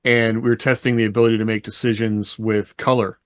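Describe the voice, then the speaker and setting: Lower-voiced man, casual podcast talk. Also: little pitch movement, fairly quick, no echo, clean studio sound. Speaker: podcast co-host. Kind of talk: casual conversational explaining and recollection.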